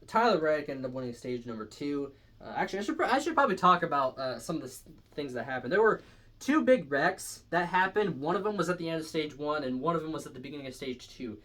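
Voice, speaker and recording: Higher-voiced man rattling off race results. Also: a bit bright. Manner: rambling, self-correcting, lots of fillers. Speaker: racing results reviewer. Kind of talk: casual solo review. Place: indoors, stepping back from the camera at times.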